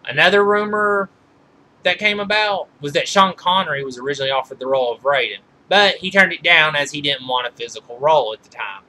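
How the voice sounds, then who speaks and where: A man giving informal film trivia commentary as a reviewer, halting and often correcting himself mid-sentence. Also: on the high side, bright, forceful tone, slight background noise, rough recording. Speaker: movie reviewer.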